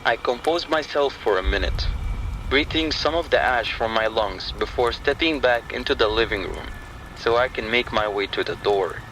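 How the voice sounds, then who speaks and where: A man's voice: mid-range voice, animated, moderate pace, bright, forceful tone, poor audio. A man performing a scripted first-person horror monologue in clipped, tense phrases. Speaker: horror story narrator. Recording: faint rain and ambient noise. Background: rain and thunder sounds.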